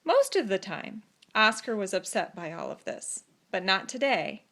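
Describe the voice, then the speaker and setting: A woman narrating a children's story expressively, with deliberate phrasing and dramatic pauses. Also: mid-range voice, big pitch swings, fairly bright tone. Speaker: storybook reader.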